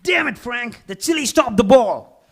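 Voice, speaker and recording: A man's voice: very high voice, lively intonation, unhurried. A man playing a smug, condescending, hot-tempered bowler in a live comedy table read. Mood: exasperated.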